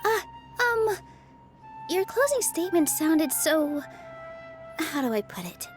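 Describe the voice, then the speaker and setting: High-voiced, sweet hesitant girl. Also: big pitch swings, takes it slowly, faint music behind, full character voice. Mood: gentle, polite. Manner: soft-hearted, hesitant, polite. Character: gentle, hesitant, sweet young woman. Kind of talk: video game voice acting.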